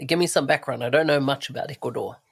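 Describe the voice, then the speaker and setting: A man's voice: medium pitch, quick pace, gravelly, slightly rough. A man hosting a podcast, asking upbeat, friendly questions. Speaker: podcast host. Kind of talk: podcast interviewing.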